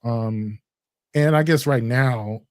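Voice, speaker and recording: Co-host, male, from Chicago, deep rich voice, calm and thoughtful, mid pitch, dry close mic. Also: no room sound. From Chicago, USA. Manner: measured, reflective. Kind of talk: casual chat.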